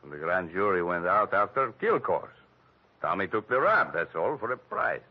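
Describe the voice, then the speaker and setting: An actor playing a shady, nervous casino operator in 1940s radio drama, protesting in clipped, broken grammar. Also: male voice, low-pitched, very animated, moderate pace, slightly rough, full character voice. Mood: nervous, defensive. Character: nervous, shady male casino operator, crooked but defensive. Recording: dull old radio recording.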